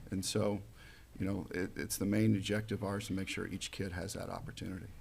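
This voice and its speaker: Man, 40s, gravelly and flat; halting local candidate answering questions. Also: low-pitched, slight background noise. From Missouri, USA. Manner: plain, halting, with fillers and restarts. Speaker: school board candidate.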